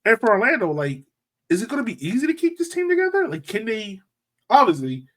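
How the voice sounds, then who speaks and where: A man's voice: high voice, wildly swooping pitch, brisk, a bit bright. A man hosting a podcast, asking informal, meandering questions in a casual chatty style. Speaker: podcast host.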